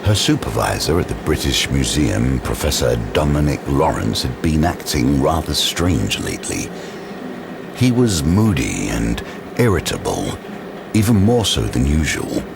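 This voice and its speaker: An actor playing a grave, ominous storyteller, narrating a horror audio drama with measured suspense. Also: male voice, extremely low pitch, sing-song, gravelly, noisy background, full character voice. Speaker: third-person narrator.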